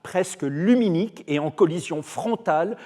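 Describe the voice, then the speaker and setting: A man with Arabic as his first language gives a formal lecture in a measured, emphatic way, slowing down on key phrases. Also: fairly high pitch, animated, dark tone. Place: large lecture hall. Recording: long hall reverb.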